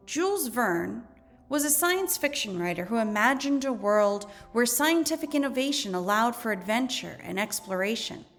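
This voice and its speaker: Higher-pitched woman reading a lesson aloud. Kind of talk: educational read-aloud narration.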